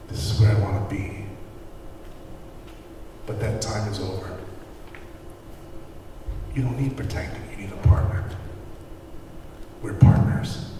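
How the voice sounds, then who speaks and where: A man's voice: on the low side, warm tone, slight background noise, close to an everyday voice. A man playing a devoted, regretful husband at a screenplay table read, earnest and reflective, recorded from a distance in an echoey room. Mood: tender, reflective. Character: devoted, regretful husband confessing to his wife in counseling.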